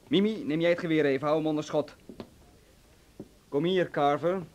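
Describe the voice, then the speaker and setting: A man's voice: fairly high pitch, normal speed, darkish tone, dull audio, slight background noise, natural voice. An actor playing a jovial, smugly menacing henchman in a radio drama, falsely friendly and prone to chuckling. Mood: gloating joviality.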